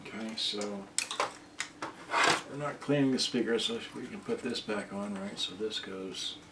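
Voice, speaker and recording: Slow, halting man in a noisy, echoey room. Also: lowish voice. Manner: halting, talking to himself while working. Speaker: repair video host. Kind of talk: casual muttered hands-on repair commentary. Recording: distant roomy mic, loud noise, clicks and clatter.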